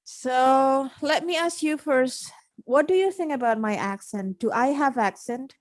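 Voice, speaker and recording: A woman's voice: sits high, unhurried. A woman hosting a podcast in casual conversation, speaking frankly and directly. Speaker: podcast host.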